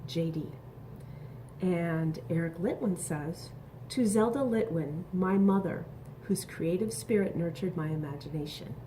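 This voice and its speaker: A woman reading a picture book aloud to young children, expressive and instructive, pausing to ask questions and add asides. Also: on the low side, slight background noise, rough recording. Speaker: storybook reader.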